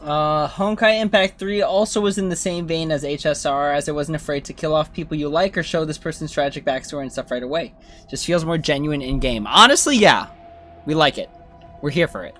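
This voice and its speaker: Higher-pitched man, casual gaming commentary. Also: fairly quick, a bit bright, soft background music. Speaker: game streamer.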